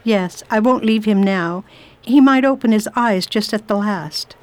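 A woman's voice: medium pitch, unhurried, a little mellow, natural voice. A woman playing and narrating as a proper, curious English lady traveler in an amateur radio drama. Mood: genteel, curious. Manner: prim, refined and inquisitive. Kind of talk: first-person narration and radio drama acting.

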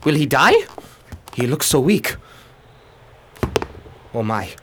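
A man's voice: mid-range voice, big pitch swings, slow, background noise. A pompous, stiff secretary to an official, acted by a man, speaks in formal phrases in a radio drama. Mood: indignant.

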